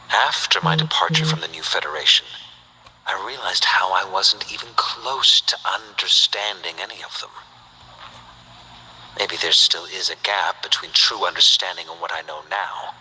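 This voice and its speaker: An actor playing a stern, principled scientist and inventor in a video game, speaking formally and precisely in a breathy voice. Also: male voice, low-pitched, bright, forceful tone, a little hiss or hum, exaggerated voice, rough recording. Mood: grave, reflective. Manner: formal, precise, measured diction. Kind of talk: scripted video game character voice lines.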